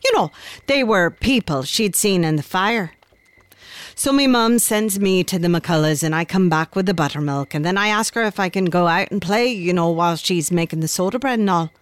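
A woman's voice: lowish voice, normal speed, no room sound, natural voice. An actress playing an Irish countrywoman who tells a tale of her gran in a chatty, confiding, folksy way for a radio drama. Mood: reminiscing. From Ireland. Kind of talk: conversational storytelling in radio drama.

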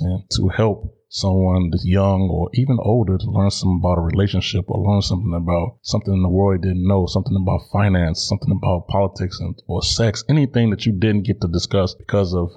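Deep, husky American man hosting a podcast. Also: mellow, lo-fi sound. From Chicago, United States. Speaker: podcast host. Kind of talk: solo podcast monologue, conversational.

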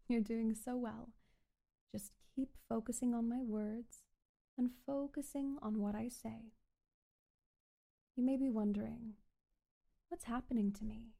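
A woman performing an ASMR hypnosis roleplay as a calm, controlling doctor, speaking in a soothing, repetitive, coaxing way. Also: fairly high pitch, measured pace, creaky, pauses often. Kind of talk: ASMR hypnosis roleplay, guided relaxation script.

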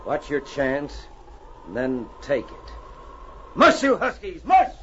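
An actor playing a greedy, scheming frontier villain with a hard, commanding manner in vintage radio style. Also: male voice, fairly high pitch, huge pitch swings, slow pace, a bit bright, exaggerated voice. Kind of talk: 1940s radio acting. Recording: dull, hissy old radio recording.

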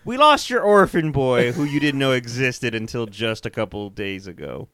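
A man's voice: medium pitch, normal speed, no room sound, studio quality. A man co-hosting a podcast, joking and laughing through a movie recap. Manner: jokey, animated, laughing often. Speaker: podcast co-host. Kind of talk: casual movie recap chat.

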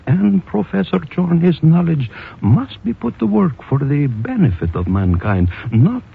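An earnest underground resistance man. His voice is raspy and fairly high for a man, lifting and falling dramatically as he speaks in formal, fatherly phrases. It comes from a 1950s radio drama. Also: big pitch swings, heavily acted. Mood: fervent, grave. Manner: formal, deliberate phrasing with chuckles. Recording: phone-like old radio recording.

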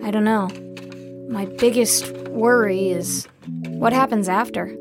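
A woman's voice: mid-range voice, fairly even intonation, slow pace, over music. A woman playing an anxious, earnest young musician with casual delivery in an audio drama. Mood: brooding, worried. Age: young adult.

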